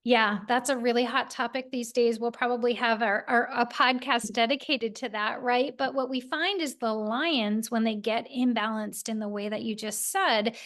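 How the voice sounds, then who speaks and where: A woman hosting a podcast, explaining ideas in a casual, animated way. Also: fairly high pitch, gravelly. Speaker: podcast host.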